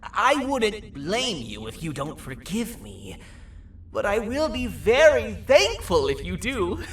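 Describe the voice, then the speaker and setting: Casting: jittery jester-like mascot for a horror game, slow and hesitant, pitch swooping all over, guilt-ridden. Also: sing-song, a bit bright, far from the mic, a little hiss or hum, heavily acted. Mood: remorseful, hesitant. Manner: hesitant, apologetic, erratically swooping. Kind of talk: video game character dialogue. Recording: echoey, off-mic game audio.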